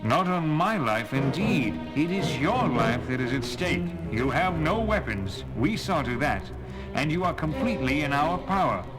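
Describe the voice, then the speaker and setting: An actor playing an imperious, persuasive alien leader in a science fiction audio drama, with a formal, declamatory delivery. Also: male voice, on the high side, big pitch swings, moderate pace, poor audio. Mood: menacing. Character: imperious alien male leader, persuasive, ruthless, desperate to save his people. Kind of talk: dramatic sci-fi audio play acting. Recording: loud music under the dialogue.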